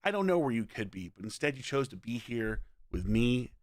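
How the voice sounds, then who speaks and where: A man with a hoarse voice, putting on a smooth, crooning karaoke lounge host with over-polite emcee patter. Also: mid-range voice, sing-song, normal speed, dry recording, voice pushed down, natural voice. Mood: suave.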